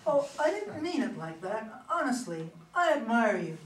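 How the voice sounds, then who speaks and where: A woman's voice: fairly high pitch, very animated, normal speed. A woman playing a smug, ambitious younger female politician in a play reading, self-assured and calculating. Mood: coolly cutting. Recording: echoey room, distant, noisy.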